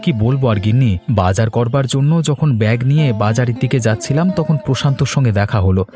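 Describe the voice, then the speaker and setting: A man's voice: medium pitch, lively intonation, a little fast, music in the background. A man voicing a husband and nephew in a Bengali cartoon, speaking in an earnest, plain way.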